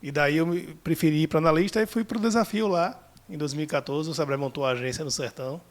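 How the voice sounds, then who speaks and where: Male guest, Bahian accent, relaxed storyteller, slightly higher voice. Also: fast. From Salvador, Brazil. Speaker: guest, business analyst. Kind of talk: casual podcast interview.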